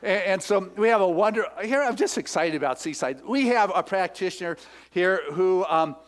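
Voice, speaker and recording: A man making chatty, excited announcements at a church service. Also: sits high, normal speed. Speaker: minister.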